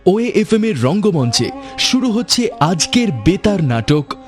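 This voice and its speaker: A man's voice: high voice, very animated, a little slow, faint music behind. A man announcing a radio drama programme from a script.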